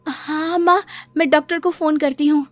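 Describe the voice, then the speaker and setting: A woman's voice: high-pitched, fairly even intonation, moderate pace, no echo, pitched above the performer's own voice, natural voice. A woman voicing an earnest, caring young daughter who reasons and pleads, in a cartoon story. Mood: urgent. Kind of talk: acted cartoon story dialogue. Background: music.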